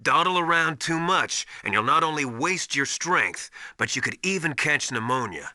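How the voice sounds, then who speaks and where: An actor playing a stern veteran military survival instructor in a video game, briskly lecturing with emphatic, commanding authority. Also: male voice, a little high, expressive, bright, forceful tone, dry recording, clean studio sound. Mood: stern, instructive. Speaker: mission survival adviser. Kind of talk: video game voice acting, instructional radio briefings.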